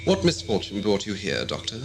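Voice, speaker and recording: An actor playing a bored, condescending villainous alien lord in a sci-fi audio drama, haughty, mocking and elaborately formal. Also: male voice, fairly low pitch, wildly swooping pitch, fairly quick. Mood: amused contempt. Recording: 1980s amateur audio drama under loud music.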